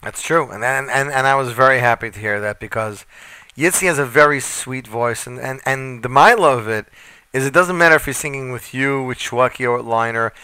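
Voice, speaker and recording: A man's voice: medium pitch, brisk, bright, forceful tone, clean tone, dry recording. A man hosting a radio interview, chatty and enthusiastic, asking questions in stacks.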